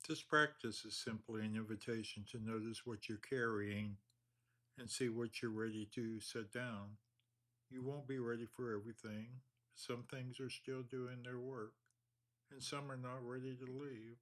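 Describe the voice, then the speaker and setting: A man leading a guided meditation, speaking slowly and calmly with long pauses. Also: mid-range voice. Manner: slow, calm, measured delivery with long pauses.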